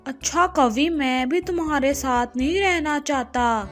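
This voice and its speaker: Lady doing a crafty cartoon crow husband, wheedling and whiny, slow talk, music underneath. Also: high-pitched, pitched above the performer's own voice. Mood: complaining, crafty. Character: scheming, persuasive male crow. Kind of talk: children's cartoon voice acting.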